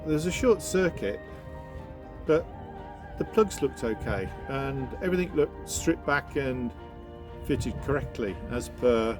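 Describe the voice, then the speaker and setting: A man giving an informal how-to explanation as a vlogger, talking through it step by step in a halting, hesitant way. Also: lowish voice. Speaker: sailing vlogger.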